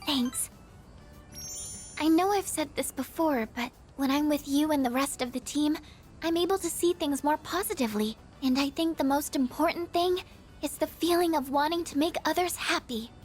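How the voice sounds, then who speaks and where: A woman acts a sweet high school girl from a video game with a high voice. She sounds shy, earnest and gently hesitant, over faint background music. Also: normal speed, lightly acted.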